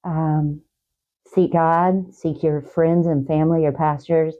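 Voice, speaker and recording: A woman talk show guest giving heartfelt, faith-based encouragement in a halting way, repeating words and phrases. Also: low-pitched, steady tone, narrow, tinny audio.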